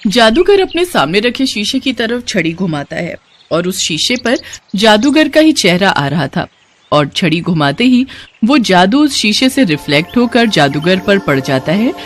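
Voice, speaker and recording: A woman's voice: mid-range voice, very animated, a little slow, faint music behind. Woman narrating children's moral stories. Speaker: storyteller. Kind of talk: scripted children's story narration.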